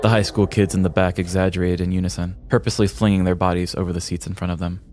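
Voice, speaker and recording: An American man reading a scripted comedic story in a deliberate, theatrical storyteller's manner. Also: deep voice, level tone, music in the background. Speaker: podcast host telling a story.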